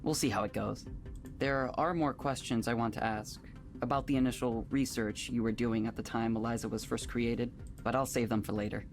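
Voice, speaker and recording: Casting: male actor for polite corporate tech manager, visual novel, measured and composed delivery, medium pitch, music underneath. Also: fairly even intonation, lightly acted. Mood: measured, explaining.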